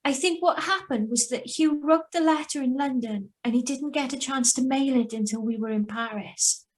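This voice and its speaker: An actress plays an anxious young woman hiding behind a false name in a suspense radio drama. Her voice sits fairly high. She tells and lives the story in the manner of old radio, read over a video call. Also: normal speed, lightly acted. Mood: nervous, evasive. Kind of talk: 1940s-style radio suspense narration and dialogue. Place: remote live reading over video call.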